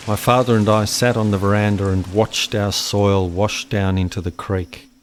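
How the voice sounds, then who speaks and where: An actor playing a haunted farmer's son in a radio play, telling of a buried secret in a solemn, measured way. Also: male voice, on the low side, slight background noise.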